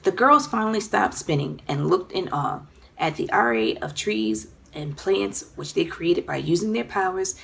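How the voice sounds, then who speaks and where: A woman narrating a children's story aloud in an expressive, dramatic storytelling manner. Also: fairly low pitch, normal speed, gravelly. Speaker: children's author reading own book.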